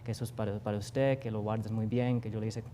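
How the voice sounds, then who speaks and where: A man's voice: on the low side, fairly even intonation, fairly quick, mellow, slight background noise. A young man with a Costa Rican accent, a guest artist speaking earnestly and reflectively in a TV studio interview, with frequent fillers. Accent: Costa Rican Spanish. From Costa Rica. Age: young adult.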